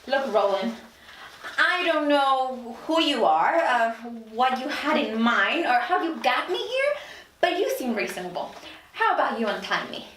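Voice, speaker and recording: High-pitched, frantic captive woman, acted at a reading. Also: big pitch swings, a little slow, fairly bright tone, a little hiss or hum, exaggerated voice. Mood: coaxing. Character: frantic, manipulative captive woman in her late thirties. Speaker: actor at a table read. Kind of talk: dramatic script reading. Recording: roomy, distant mic.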